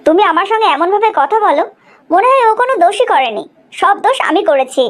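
A woman's voice: very high-pitched, very animated, fast. A woman playing an aggrieved, reproachful middle-aged mother in a cartoon family drama. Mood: resentful. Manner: reproachful, nagging. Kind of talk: animated cartoon drama dialogue.

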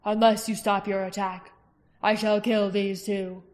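Female narrator voicing a menacing, slow-talking male king for an audiobook, flat delivery. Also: mid-range voice, lightly acted. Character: cruel smooth-talking male tyrant king. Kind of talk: audiobook character reading.

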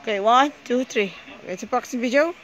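A person presenting a casual home unboxing video in a halting, disjointed way, often repeating words. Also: medium pitch, a bit bright, highs cut off, slight background noise. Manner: halting, disjointed, repetitive delivery. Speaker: amateur video maker showing gadgets.

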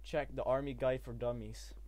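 A man's voice: medium pitch, normal speed. A man hosting a gameplay video, chatting casually and laughing with friends over voice chat. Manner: lively, giggly, talks over voice chat.

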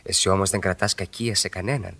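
A man's voice: deep voice, fairly even intonation, creaky, pushed and bright, exaggerated voice. An actor playing a witty, ironic married man who flirts by argument in a Greek radio play, with articulate, theatrical delivery. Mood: ironic, teasing.

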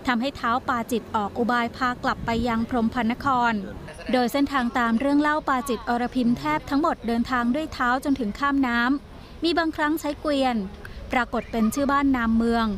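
A woman reading scripted documentary narration in a measured, even way. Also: high-pitched. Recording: voice-over with loud music bed.

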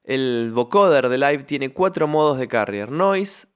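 A man's voice: medium pitch, animated, measured pace, clear, resonant tone. A man presenting an instructional tutorial in voice-over, explaining step by step into a close microphone. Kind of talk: instructional software tutorial narration.

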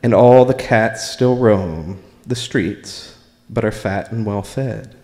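An American man performs spoken word in a slightly low voice. He takes it very slowly, phrase by measured phrase. The live recording carries a long reverb from the room. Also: a little mellow. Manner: deliberate, measured, pausing between short phrases. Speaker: spoken word performer reading own writing. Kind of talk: live open mic poetry and story reading.

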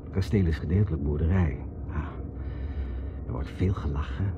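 A man's voice: extremely low pitch, normal speed. An actor playing an earnest, persuasive man in a radio drama, in a conversational way. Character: Ted, earnest persuasive middle-class American man. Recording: noisy, muffled old radio drama recording.

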